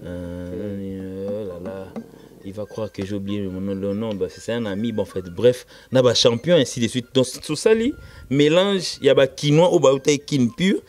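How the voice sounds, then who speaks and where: A man with a Congolese accent and Lingala as his first language speaks as an interviewed musician, emphatic and repetitive, switching between halting French and Lingala. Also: on the low side, a little mellow. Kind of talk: casual interview, recounting band history.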